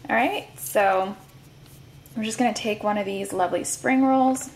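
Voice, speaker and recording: A woman's voice: mid-range voice, moderate pace, a little hiss or hum, poor audio. Chatty woman vlogging a food review. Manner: chatty, relaxed, with fillers. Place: home kitchen counter, indoors.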